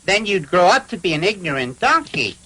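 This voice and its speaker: An actor voicing an ancient talking cricket in a cartoon dub, moralizing and admonishing. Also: male voice, high-pitched, very animated, fairly quick, pushed and bright, husky, exaggerated voice, low-quality recording. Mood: scolding. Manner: preachy, admonishing. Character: hundred-year-old moralizing, scolding talking cricket. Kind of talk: animated cartoon dub acting.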